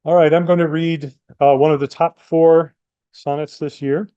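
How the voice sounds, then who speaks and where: A man presenting and reading sonnets aloud in a measured, plain-spoken way. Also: a little high, flat delivery, warm tone, clear, resonant tone, no echo, lo-fi sound. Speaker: poetry reader.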